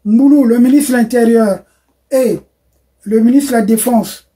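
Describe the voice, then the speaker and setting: A man's voice: extremely high pitch, measured pace, dark tone. A middle-aged man hosting a political discussion, affirming his guest with "voilà" and drifting into long explanations. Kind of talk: political talk show discussion.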